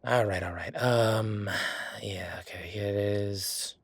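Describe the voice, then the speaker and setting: A man's voice: lowish voice, slow pace. A man playing a defensive, chatty government records clerk in an audio roleplay, talking in a rambling, colloquial way. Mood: matter-of-fact, hesitant. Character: male records hall clerk, defensive, chatty, lazy bureaucrat. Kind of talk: solo audio roleplay, conversational acting.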